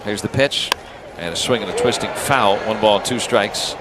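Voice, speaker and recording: A man with an American accent calling live baseball play-by-play on the radio in clipped phrases with pauses between pitches. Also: heavy background noise.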